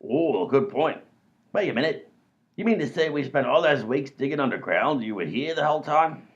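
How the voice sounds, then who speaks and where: A man's voice: medium pitch, lively intonation, normal speed, natural voice. A man voicing a dim, self-assured robber in a comedy radio play. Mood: blustering. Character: bumbling male robber, blustering, dim. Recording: dull lo-fi audio.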